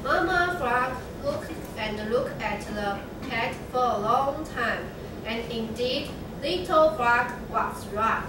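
A young woman narrating a children's story in a slow, measured readers' theatre reading. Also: fairly high pitch, animated, noisy background. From Taiwan. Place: large classroom. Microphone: far camera. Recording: very echoey classroom from far off.

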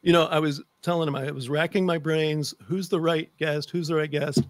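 An American man in middle age with a slightly high voice hosts a talk show in a chatty style. He is close on the mic, and the sound is dry. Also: steady tone, normal speed, no room sound. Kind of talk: chatty show introduction and interview. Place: home office with bookshelves, indoors. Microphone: close desk microphone.

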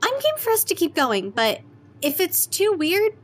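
Woman acting high-voiced, wry, uneasy young friend. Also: huge pitch swings, normal speed, slight background noise, heavily acted. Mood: nonchalant. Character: young woman, game but uneasy thrill-seeking best friend. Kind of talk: horror audio drama dialogue.

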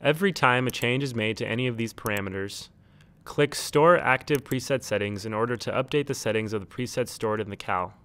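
A man reading a scripted instructional tutorial in a measured, neutral, step-by-step way. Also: medium pitch, fairly bright tone, clear, resonant tone. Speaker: tutorial narrator. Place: close, dry studio-style voice-over recording.

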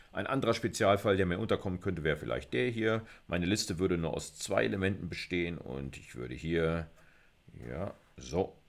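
A middle-aged man with a low-pitched voice explains material in an informal university lecture. He talks conversationally, thinking out loud and asking himself questions before answering them. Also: gravelly. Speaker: university computer science lecturer.

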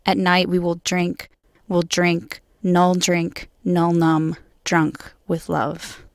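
Low, creaky-voiced woman reading poetry. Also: completely flat delivery, takes it slowly, heavy background noise. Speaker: guest poet.

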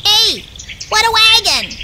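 A woman's voice: very high-pitched, big pitch swings, takes it slowly, bright, forceful tone, pitched above the performer's own voice, exaggerated voice. A woman voicing a small, eager cartoon animal friend in a picture book, exclaiming in a wide-eyed manner. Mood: amazed.

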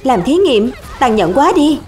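Earnest, high-voiced young boy hero played by a woman. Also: big pitch swings, a little slow, darkish tone, soft background music. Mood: puzzled. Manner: earnest, naive, questioning. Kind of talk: animated cartoon dub.

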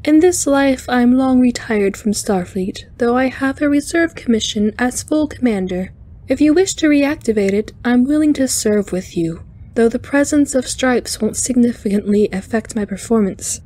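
A woman's voice: on the high side, expressive, mellow, pitched above the performer's own voice. A woman voicing a cheerful, intense female alien spider engineer in an audiobook, with a light chiming voice and courteous, precise speech. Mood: earnest, brisk. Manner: brisk, precise, courteous, slightly formal. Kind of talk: audiobook character voice.

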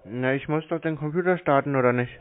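A man on a phone call, playing a slow customer, obtuse, grumbling and argumentative. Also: mid-range voice. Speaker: scambaiter posing as a victim on a phone call.

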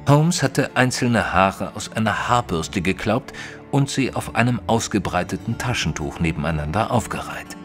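A male actor as an earnest gentleman companion recounts a detective story for an audio drama. His voice sits low, his delivery is measured and literary, and music plays behind him. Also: expressive, lightly acted. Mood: measured, curious. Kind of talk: scripted audio drama narration.

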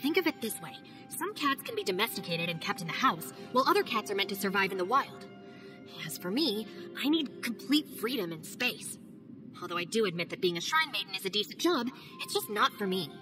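A woman voicing a calm, dutiful young female ninja in a video game, speaking in a measured way. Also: medium pitch, music in the background, lightly acted.

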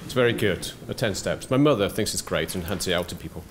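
A middle-aged soft-spoken man giving a conference lecture at a podium, hesitant with many fillers and restarts and dry asides. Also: low voice, quick pace, slight background noise. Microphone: podium microphone.

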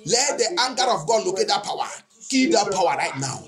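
High-pitched male pastor in fervent prayer. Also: very animated, moderate pace, music in the background. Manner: insistent, chanting repetition of phrases. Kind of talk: fervent charismatic prayer sermon, switching between French and English.

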